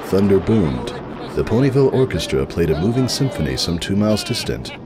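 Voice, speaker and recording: A man reading scripted comic fiction as a dramatic narrator, mock-grandiose with deadpan asides. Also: deep voice, brisk.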